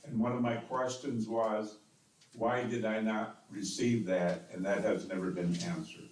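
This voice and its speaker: A man's voice: fairly low pitch, little pitch movement, unhurried, a little mellow, slight background noise. A man serving as mayor with a strong, carrying voice, speaking insistently and repeating words as he defends himself. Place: city council chamber. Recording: echoey council chamber, distant mic.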